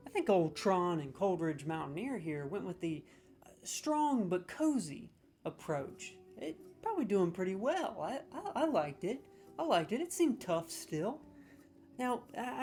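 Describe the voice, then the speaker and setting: Profane man in a mock-serious rant. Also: high voice. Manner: stammering restarts when excited. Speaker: comedic judge of game voice lines. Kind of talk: comedic ranking rant.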